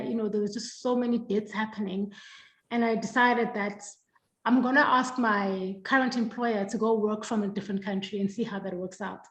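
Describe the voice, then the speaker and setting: South african woman in her 30s, kinda high voice, thoughtful, lots of ums, echoey room. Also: fairly bright tone. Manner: reflective, earnest storytelling with frequent ums. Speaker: guest. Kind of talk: casual interview talk.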